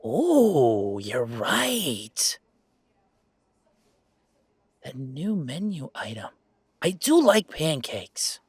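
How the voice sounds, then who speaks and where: Man acting an expressive, earnest young werewolf gamer. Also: fairly high pitch, slightly rough, dry recording, full character voice. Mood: curious, relaxed. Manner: halting, emphatic, expressive, with sighs and chuckles. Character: earnest young male werewolf gamer and streamer, playfully reluctant. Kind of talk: intimate roleplay audio acting, conversational.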